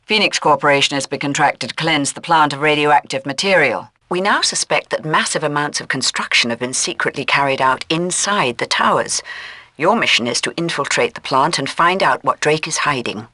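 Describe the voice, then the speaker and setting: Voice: medium pitch, pushed and bright, no room sound, natural voice. An actor voicing a stern British intelligence chief in a video game, giving mission orders in a formal, clipped, authoritative manner. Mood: brisk briefing. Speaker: mission briefer. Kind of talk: scripted video game voice acting.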